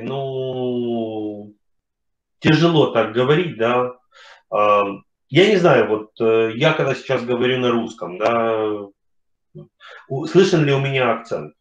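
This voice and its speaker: A man in his forties from Kharkiv, giving a casual webinar talk and answering questions, hesitant with many fillers and pauses, on a video call from a room at home. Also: mid-range voice. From Kharkiv, Ukraine. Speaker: webinar speaker, company CEO. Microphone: webcam on video call. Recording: roomy, dull video call audio.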